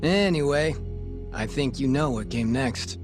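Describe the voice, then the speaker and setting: Guy doing slangy sardonic informant in a game, slow and cagey, music playing behind. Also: medium pitch, expressive, lightly acted. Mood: sly, recounting. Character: cagey, teasing male street informant, adult. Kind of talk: video game cutscene dialogue.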